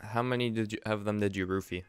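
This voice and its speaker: A young man streaming a game from his room, chatting excitedly and repeating himself. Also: on the low side, fast talker, clean tone. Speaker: gaming streamer. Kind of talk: casual gameplay chat. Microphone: desk mic, wearing headset.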